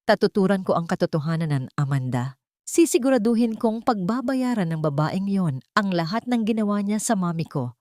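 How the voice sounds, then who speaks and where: A woman's voice: medium pitch, very animated, moderate pace, mellow, no room sound, clean studio sound, lightly acted. A woman voicing an angry, vengeful grown son from a rich family, emphatic and bitter, in an audio drama. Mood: vengeful anger.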